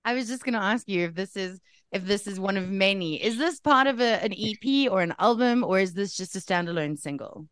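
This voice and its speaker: Female radio host, smooth clear voice, playful and upbeat, dry studio sound. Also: medium pitch, expressive, brisk, a bit bright, dry recording. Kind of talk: radio interview.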